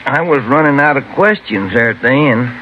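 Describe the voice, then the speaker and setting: An American actor playing an easygoing, inquisitive police detective and partner in a 1950s radio crime drama, folksy and plain-spoken. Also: male voice, medium pitch, animated, a little fast, lightly acted. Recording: muffled old radio recording, clear hiss.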